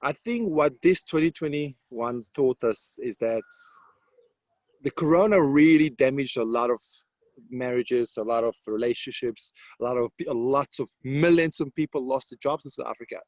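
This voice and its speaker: A man's voice: medium pitch, normal speed, a little mellow, no echo. A man from Serbia with a Serbian accent, speaking English as a second language, answering interview questions as a confident property mentor in broken grammar. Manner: confident sales-coach delivery, broken grammar.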